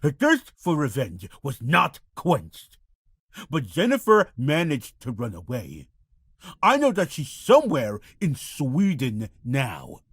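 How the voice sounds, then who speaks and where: An actor playing a guilt-ridden, desperate man in a dramatic video game scene, husky and halting as he pleads. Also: mid-range voice, wildly swooping pitch, a bit bright, no echo, studio quality, exaggerated voice. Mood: somber confessing. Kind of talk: dramatic game cutscene acting.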